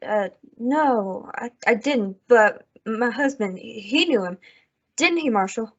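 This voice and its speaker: A woman acts out a hard, vengeful widow of the Old West in a radio drama. Her voice is somewhat high, and her phrasing is curt and countrified. The sound is dull and lo-fi. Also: expressive, slow pace, breathy edge, heavily acted. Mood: subdued, halting. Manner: curt, rustic, demanding. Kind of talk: old-time radio western acting.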